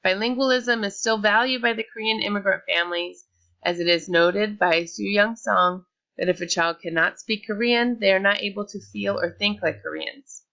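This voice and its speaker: Woman presenter, bright tone, lo-fi mic, reads her script with small restarts. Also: mid-range voice, normal speed. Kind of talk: read-aloud slide presentation.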